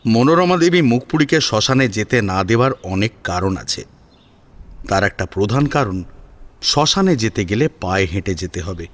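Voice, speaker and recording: A man narrating a scripted dramatic audio story in an expressive, emphatic manner. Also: lowish voice, normal speed.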